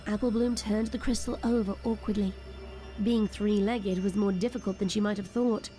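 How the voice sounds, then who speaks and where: A woman's voice: medium pitch, fairly even intonation, moderate pace, dark tone, soft background music. A woman reading scripted third-person story narration as the narrator of an audio drama.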